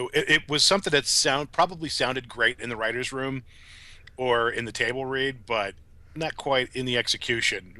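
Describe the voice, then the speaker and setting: A man co-hosts a podcast in a casual, analytical way. His voice is bright and crisp-edged, recorded very dry with almost no room around it. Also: mid-range voice, no echo. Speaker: podcast co-host. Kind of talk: casual analytical chat about TV.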